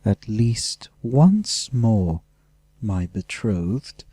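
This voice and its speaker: Man playing a sly, low-voiced aristocratic dragon villain. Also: very animated, very slow, warm tone, slightly rough, no echo, clean studio sound, exaggerated voice. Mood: nasty. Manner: mocking, nastily polite.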